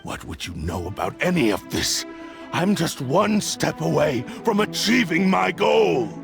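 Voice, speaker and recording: Possessed cursed sword spirit, gravelly, very slow, menacing, expressive pitch. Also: male voice, over music, full character voice. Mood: defiant. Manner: cold, slow and menacing, with bursts of anger. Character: proud, obsessive male cursed blade spirit. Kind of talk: video game voice acting.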